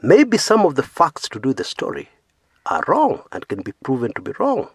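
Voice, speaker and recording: Male commentary host from Kenya, second-language English, earnest and emphatic, animated intonation, slightly husky. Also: mid-range voice, normal speed, no room sound, studio quality. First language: not English. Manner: earnest, emphatic, frequent "yeah" tags, rising stresses on key words. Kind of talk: opinion commentary monologue.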